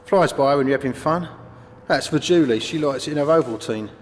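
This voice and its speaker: An actor playing a sly, matey neighbour in a comedy radio play. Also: male voice, mid-range voice, brisk, close to an everyday voice. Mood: falsely casual. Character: matey, sly cockney neighbour and secret lover. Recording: long echoing reverb, faint noise.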